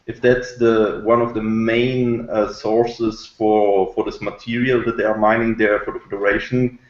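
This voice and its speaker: A man reviewing on a podcast in casual discussion, talking in a halting, argumentative way. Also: on the low side, level tone, reverberant, highs cut off.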